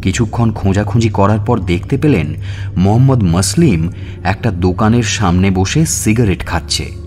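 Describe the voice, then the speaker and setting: A man narrating a scripted suspense story in a measured, expressive, dramatic way. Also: on the low side. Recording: music bed under narration.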